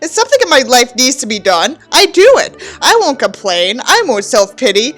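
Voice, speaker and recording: Self-important young male diarist voiced by a woman, bitter and melodramatic, highish and very animated. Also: moderate pace, faint music behind. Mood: pompous. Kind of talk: mocking dramatic reading of a novel.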